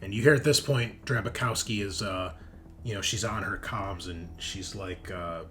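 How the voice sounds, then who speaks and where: A man's voice: lowish voice, moderate pace, a bit bright, faint music behind. A man running a tabletop roleplaying game as game master, improvising narration in a casual, joking way.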